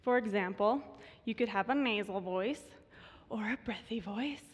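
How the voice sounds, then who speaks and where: A woman in her twenties with vocal fry, a student voice researcher giving a prepared stage talk in measured tones. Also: mid-range voice. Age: 20s. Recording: reverberant hall.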